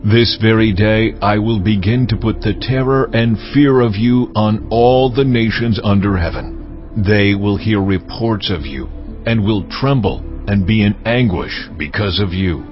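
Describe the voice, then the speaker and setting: Guy doing god's voice, solemn and bossy, kinda low and far away, muffled audio with music under it. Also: measured pace, natural voice. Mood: commanding. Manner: solemn, commanding. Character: the Lord, a commanding divine male voice. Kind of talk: dramatized scripture reading. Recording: dull lo-fi audio with faint music.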